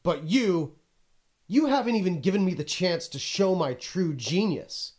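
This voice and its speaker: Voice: mid-range voice, lively intonation, a little slow, darkish tone, natural voice. An actor playing a pompous, exasperated video game narrator who scolds the player in a dramatic, haughty, petulant way. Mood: exasperated scolding. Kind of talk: theatrical scripted game narration.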